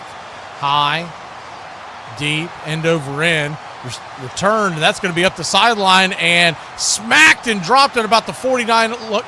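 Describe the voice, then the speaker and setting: A man with a fairly high voice calls a football game live. He gets excited on the plays and turns conversational between them. The stream has clear noise behind him. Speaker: play-by-play host of a football watch party. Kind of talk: live play-by-play commentary with casual asides.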